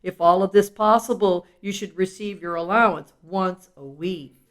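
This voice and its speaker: A woman's voice: mid-range voice, normal speed. A woman reading a children's self-help book aloud in an instructive, explanatory way, with casual asides.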